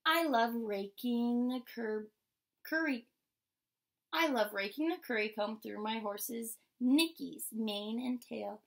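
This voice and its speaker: A young adult woman reading a picture book aloud like a teacher, in a measured, instructional way. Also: fairly high pitch, vocal fry, a bit bright. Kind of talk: picture-book read-aloud with short explanations. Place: indoor room with painted mural wall.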